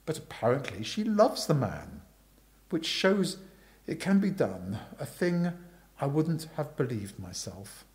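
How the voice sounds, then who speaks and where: A man voicing an amiable, chatty young upper-class gentleman in a storybook reading, earnest and rambling. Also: a little high, very animated, unhurried.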